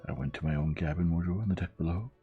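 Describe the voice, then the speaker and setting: A man voicing a sly, excitable young French lady's maid in an audiobook, with a French accent and an emphatic, pleading manner. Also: sits low, fast talker, breathy edge, narrow, tinny audio, soft background music, voice pushed up. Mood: wary, deferential. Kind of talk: audiobook character voice.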